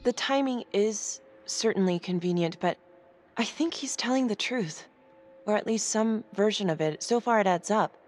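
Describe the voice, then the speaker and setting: An actress playing a guarded, determined prosecutor in a crime thriller game, speaking in a dry, measured way. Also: female voice, mid-range voice, a little mellow, close to an everyday voice. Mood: earnest, careful. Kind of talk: naturalistic dramatic game acting. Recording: background music under the voice.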